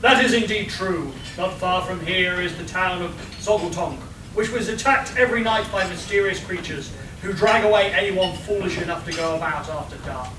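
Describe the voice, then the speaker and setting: A man playing a pompous, eager gentleman adventurer who declaims grandly in a live amateur radio play. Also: high-pitched, normal speed, hardly any pauses, natural voice. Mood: proud, declamatory. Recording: echoey, noisy live hall, distant mic.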